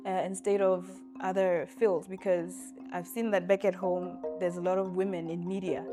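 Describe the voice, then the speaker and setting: A woman talking in a documentary interview. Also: medium pitch. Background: background music.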